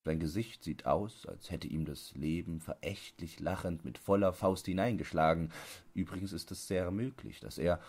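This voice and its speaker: A man narrating an audiobook, reading literary prose in a measured, carefully enunciated way. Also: low-pitched, a little mellow, slightly rough.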